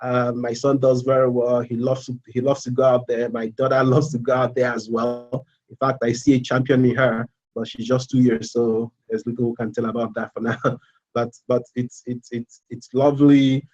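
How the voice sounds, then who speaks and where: A man in his thirties from Nigeria with a Nigerian accent, a student giving a casual live presentation, halting with fillers and repeats. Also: mid-range voice, flat delivery, a little mellow, highs cut off. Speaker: MBA student.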